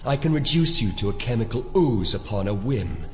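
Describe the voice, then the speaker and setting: Deep, imperious, menacing overlord. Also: male voice, big pitch swings, darkish tone. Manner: imperious, deliberate, threatening. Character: menacing, controlling male Prime Elder, coldly commanding maker. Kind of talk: audio drama acting. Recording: long hall reverb, music underneath.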